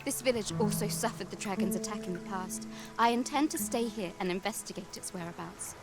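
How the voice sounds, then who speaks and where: A woman's voice: mid-range voice, brisk, loud music behind the voice, natural voice. An actress playing a resolute, dutiful young warrior woman in a fantasy game, with solemn, archaic speech.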